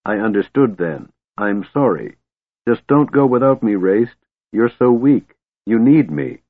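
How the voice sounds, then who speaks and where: A man's voice: fairly low pitch, lively intonation, fairly quick, narrow, tinny audio. A man voicing a big, devoted twin brother in a storybook, wretched, faltering and pleading.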